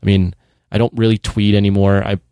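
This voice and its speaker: A man's voice: on the low side, little pitch movement, a little fast, warm tone, no room sound, clean studio sound. A man in his thirties hosting a podcast, laid-back and chatty, laughing often. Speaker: podcast host. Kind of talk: casual chat.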